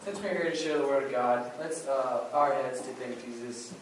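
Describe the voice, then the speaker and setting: A man presents informally to an audience in a very echoey room, picked up far from the mic. He speaks in a casual, slightly halting way at a medium pitch, with faint noise behind him. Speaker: puppet show presenter at a church. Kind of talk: casual address to an audience, questions and prayer. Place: church hall, recorded from the audience area.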